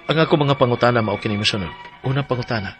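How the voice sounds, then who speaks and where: Man acting troubled husband in his forties, Cebuano accent, plaintive radio drama monologue, deliberate, clear background music. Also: mid-range voice, close to an everyday voice. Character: troubled 45-year-old husband and father working abroad.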